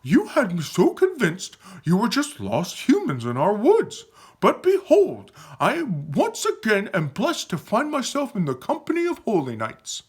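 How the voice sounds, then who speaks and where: A man voicing an excitable, reverent young male furry creature with a gushing, dramatic manner in a storybook. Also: sits high, measured pace, slightly rough, voice pushed up, exaggerated voice. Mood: awed.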